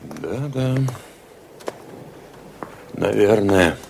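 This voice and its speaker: Gravelly, deferential ship's officer. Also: male voice, fairly low pitch, lively intonation, drawn-out words, exaggerated voice. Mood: subdued. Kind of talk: radio play acting. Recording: noisy, with clicks.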